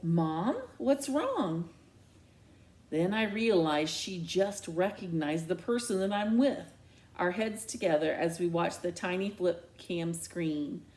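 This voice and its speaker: A woman narrating a children's novel aloud in a dramatic, expressive storytelling style. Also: on the low side, unhurried.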